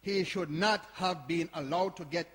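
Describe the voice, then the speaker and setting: An actor playing a principled, indignant older man in a stage play, halting and repeating words as he argues. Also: on the high side. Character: principled, indignant older man defending a youth community centre. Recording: echoey live hall performance.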